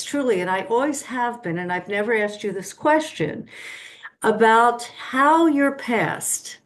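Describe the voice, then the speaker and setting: Measured woman asking questions. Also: mid-range voice. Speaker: interviewer. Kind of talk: conversational interview question.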